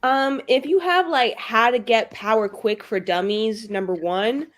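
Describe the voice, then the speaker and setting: A woman's voice: on the high side, a little slow. A woman playing a tabletop roleplaying game, improvising in casual chat with a joking, sarcastic manner.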